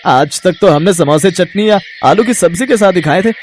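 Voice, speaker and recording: An actor playing an amused, sceptical market customer with an incredulous, mocking manner in a story. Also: male voice, high-pitched, moderate pace, a little hiss or hum, close to an everyday voice. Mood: mocking amusement.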